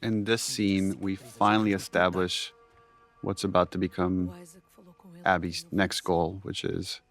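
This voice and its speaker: A man with a low-pitched voice talks through behind-the-scenes commentary in the role of a director. He explains things in a reflective, unscripted way. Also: flat delivery, a little slow, a bit bright, soft background music. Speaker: game director giving commentary.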